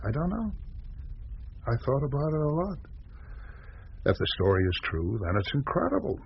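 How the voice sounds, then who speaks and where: An actor playing a pragmatic, guarded small-town American newspaper man in a radio drama, measured and reasoning aloud. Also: mid-range voice, big pitch swings, creaky, slightly rough, exaggerated voice. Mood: reflective. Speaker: newspaper editor character. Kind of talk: naturalistic radio drama dialogue. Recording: dull lo-fi radio recording, faint noise.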